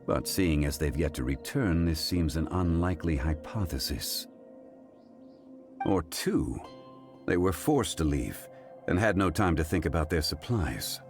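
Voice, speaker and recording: An actor playing a composed, learned man who reasons calmly and formally in a game. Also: very deep voice, full character voice. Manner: calm, formal, measured reasoning. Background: soft game music.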